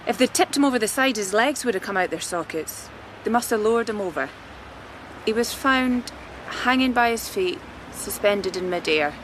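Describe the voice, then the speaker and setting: An actress playing a brisk, matter-of-fact journalist in a radio drama. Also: female voice, mid-range voice, lively intonation, natural voice, rough recording. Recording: faint outdoor noise behind voice.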